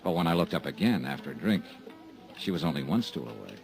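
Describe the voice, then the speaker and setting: A man's voice: a bass voice, expressive, quick pace, gravelly, a little mellow, faint music behind. A middle-aged man playing an insurance investigator, narrating a 1950s radio drama in a dry, wry, hard-boiled manner.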